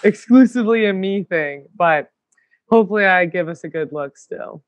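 A woman guest in casual conversation, eager and talking in quick bursts with plenty of fillers. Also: fairly low pitch, dry recording. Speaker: podcast guest. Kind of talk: casual chat.

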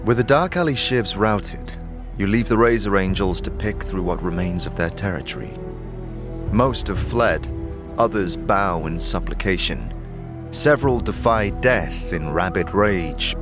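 Low-voiced male narrator over prominent music. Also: expressive. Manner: measured, dramatic storytelling. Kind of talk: scripted second-person fantasy audio drama narration. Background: background music.

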